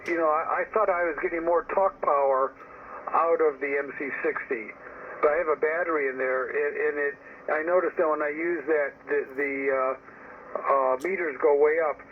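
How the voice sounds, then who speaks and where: A man's voice: on the high side, steady tone, moderate pace. A man in a casual, opinionated, rambling ham radio chat, heard over a narrowband radio link. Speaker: amateur radio operator. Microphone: received over ham radio. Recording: tinny narrowband radio transmission with static noise.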